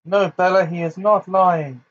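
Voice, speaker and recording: Male narrator as a pitiless villain wizard, high, cold, soft, controlled menace, storybook, muffled sound. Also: steady tone, a little slow. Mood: cold, menacing.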